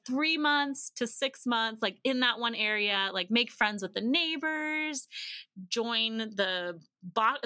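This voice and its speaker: A woman's voice: a little high, no room sound. A middle-aged woman telling stories in a casual, chatty interview style, as a podcast guest. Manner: chatty, animated retelling of exchanges in quoted dialogue. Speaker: podcast guest sharing family travel experience. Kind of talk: casual conversational storytelling.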